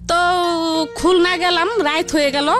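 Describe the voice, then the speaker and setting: A woman's voice: very high-pitched, steady tone, moderate pace, a bit bright, faint music behind. A woman telling her life story in a rambling, repetitive, conversational way. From Barisal region, Bangladesh. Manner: rambling, repetitive, colloquial narration.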